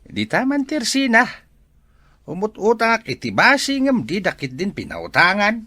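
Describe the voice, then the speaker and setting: A man's voice: sits high, wildly swooping pitch, moderate pace, bright, forceful tone, no echo, heavily acted. A man with an Ilocano Filipino accent playing a jovial old grandfather who loves his drink, in an audio drama.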